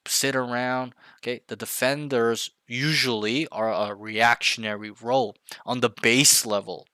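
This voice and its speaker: A man's voice: mid-range voice, sharp tone, dry recording, clean studio sound. A man coaching a younger player, blunt and emphatic, repeating key points to drive them home. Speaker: game coach. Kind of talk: coaching session, direct feedback.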